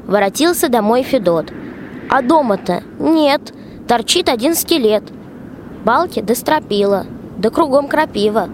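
A folk-tale storyteller played by a young woman narrates in lively rhyme for a radio play. Her voice is very high, over faint noise. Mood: storytelling. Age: young adult. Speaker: narrator, school student actor.

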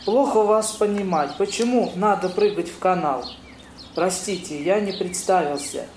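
An actor playing a courteous, flustered young man who speaks halting broken Russian in an amateur audio play. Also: high-pitched, a little mellow, natural voice. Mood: polite, charming. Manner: halting, ungrammatical foreigner's speech. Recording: roomy echo, noisy birdsong ambience.

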